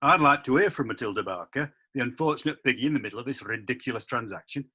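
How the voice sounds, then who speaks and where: A gruff, husky magistrate voiced by a woman presides over an old-time courtroom in an audio drama. The voice sits low and bright-edged, swinging widely in pitch as the questions fly. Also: expressive, fast talker, heavily acted. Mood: stern. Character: stern presiding male magistrate. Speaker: judge. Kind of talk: amateur radio play acting.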